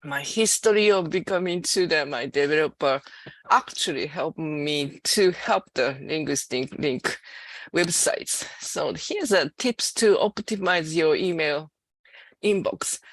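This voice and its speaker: Slow, halting woman presenter. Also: low voice, no echo. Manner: halting, hesitant with many restarts. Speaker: system developer manager presenting a training. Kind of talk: slide presentation.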